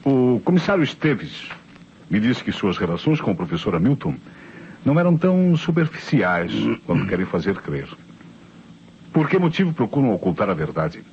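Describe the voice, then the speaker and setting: An actor playing a composed, probing police inspector with formal, measured questioning in old radio drama style. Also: male voice, on the low side, big pitch swings, darkish tone. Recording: muffled, faintly noisy old radio recording.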